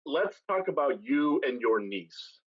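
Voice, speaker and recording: A man's voice: medium pitch, very animated, a little slow, husky. Guy playing courtly pirate captain in a play, takes his time, formal and commanding, muffled audio. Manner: formal, stately. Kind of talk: staged play reading.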